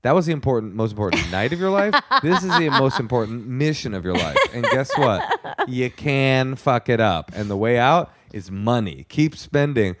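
A man's voice: mid-range voice, expressive, moderate pace, a bit bright. An American man co-hosting a podcast in casual comic chat, opinionated and joking, leaning on his words for emphasis. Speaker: podcast co-host.

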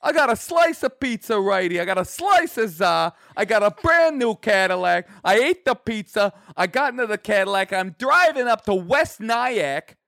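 A man's voice: sits high, very animated, creaky, fairly bright tone, dry recording, clean studio sound, voice pushed up, exaggerated voice. A man doing a brash New York guy. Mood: boastful. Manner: rapid boastful patter, exaggerated.

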